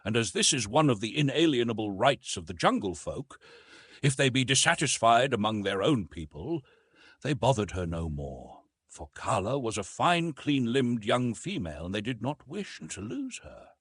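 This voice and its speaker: Male narrator, literary storytelling, very animated pitch, husky edge, dry close sound, emphatic phrasing. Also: normal speed, no echo. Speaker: audiobook narrator. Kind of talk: expressive scripted literary narration.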